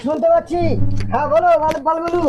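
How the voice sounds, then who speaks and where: Casting: man playing a cheeky, nervy TV reporter for cartoon comedy, very high voice, brisk delivery. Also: fairly even intonation, highs cut off, faint music behind. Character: cheeky, jittery male TV field reporter. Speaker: field reporter. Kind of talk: parody live news report in a comedy cartoon.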